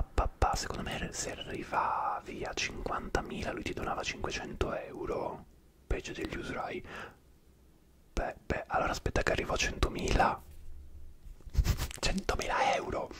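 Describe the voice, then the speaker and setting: A man whispering throughout as he hosts an ASMR live stream, chatting and rambling to viewers by name. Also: fairly bright tone.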